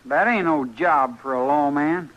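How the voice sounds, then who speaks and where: A cocky, sullen frontier youth. His voice is high and forceful, boastful and defiant in a rural American accent, heard on a slightly dull vintage radio recording. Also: measured pace, a little hiss or hum. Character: cocky, sullen teenage frontier boy itching to shoot. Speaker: radio drama actor. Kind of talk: old-time radio western acting.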